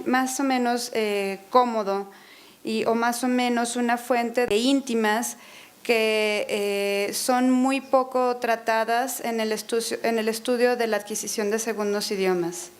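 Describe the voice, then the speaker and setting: A woman interpreting a lecture consecutively in a university auditorium, hesitating often, filling pauses and correcting herself as she goes. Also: on the high side. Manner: hesitant, many fillers and self-corrections.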